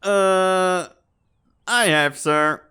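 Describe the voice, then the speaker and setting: A man's voice: high-pitched, drawn-out words, bright, forceful tone, clear, resonant tone, heavily acted. A man voicing a goofy but dutiful bird spy in a comic dub, deferential and colloquial in his speech. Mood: sheepish, animated. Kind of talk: dramatic fan-dub comic acting.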